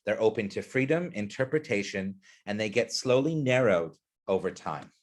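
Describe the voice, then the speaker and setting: A man's voice: on the low side, expressive, moderate pace. A man presenting an education webinar, explaining ideas earnestly and putting questions to the audience.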